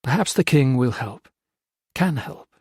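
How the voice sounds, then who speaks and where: A man reading a story voices an older priest who speaks quietly and with compassion. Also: medium pitch, animated, unhurried, husky, dry recording, studio quality. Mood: gentle. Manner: quiet, soft, patient.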